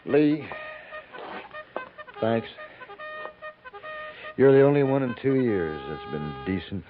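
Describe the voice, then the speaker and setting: An actor playing a bitter, disgraced, gray-haired army lieutenant who drinks, halting and defensive, in a 1950s radio drama. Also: male voice, mid-range voice, sing-song, music in the background. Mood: weary, subdued. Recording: tinny, noisy 1950s radio recording.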